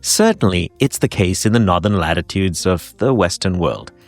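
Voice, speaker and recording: A man's voice: low-pitched, lively intonation, normal speed, dry recording, faint music behind. A man narrating a podcast episode, telling personal holiday stories in a reflective way. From Hong Kong. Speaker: podcast host. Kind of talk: scripted narration.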